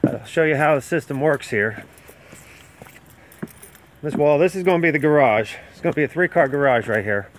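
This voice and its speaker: A man's voice: a little high, animated, moderate pace, pauses often, a little hiss or hum. Plain-spoken man touring a building site. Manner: plain-spoken, explanatory, with frequent fillers and restarts. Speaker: home builder. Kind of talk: casual site walkthrough. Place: outdoor construction site. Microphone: handheld phone camera.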